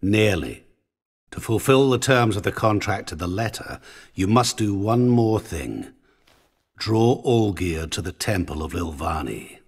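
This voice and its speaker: Sinister game trickster: slow, swooping, theatrical, smug, otherworldly. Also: male voice, on the low side, animated, breathy edge, exaggerated voice. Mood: sly, businesslike. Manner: theatrical, smug, teasing, deliberate. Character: suave, sinister otherworldly merchant who grants wishes. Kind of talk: video game voice acting.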